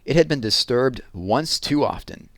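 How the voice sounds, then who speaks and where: A man's voice: medium pitch, animated, unhurried, dry recording, studio quality. A man narrating a children's picture book as a read-aloud. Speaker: storybook reader.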